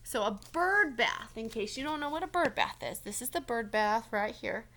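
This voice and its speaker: A woman's voice: a little high, animated, moderate pace, fairly bright tone, a little hiss or hum. A woman reading a storybook aloud as a teacher, encouraging and stopping to check in with young listeners. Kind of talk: storybook read-aloud with questions to children.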